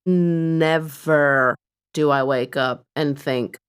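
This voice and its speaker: Woman, podcast co-host, low voice, candid, chatty, self-deprecating. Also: fairly even intonation, very slow, clean tone. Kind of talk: casual chat.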